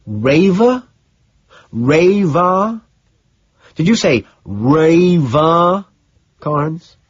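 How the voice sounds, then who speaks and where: A man's voice: on the high side, wildly swooping pitch, normal speed, creaky, husky, dry recording, dull audio, pitched above the performer's own voice, full character voice. A man playing an intense, unhinged businessman who speaks with strained, emphatic insistence. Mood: incredulous.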